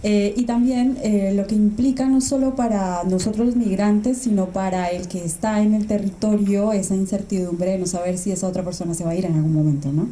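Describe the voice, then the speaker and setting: A woman moderating a panel, asking gentle questions in a very mellow voice. She is heard in an echoey hall with faint background noise. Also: medium pitch, fairly even intonation, a little fast, hardly any pauses. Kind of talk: panel discussion. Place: event hall.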